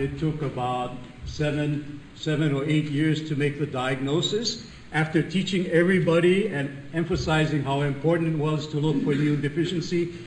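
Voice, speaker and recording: An older man from Hawaii with a loud voice, giving a chatty, joking conference lecture as a physician. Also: medium pitch, a little hiss or hum. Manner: chatty, self-deprecating, laughs at own jokes. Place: large conference room. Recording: echoey room, voice from a distance.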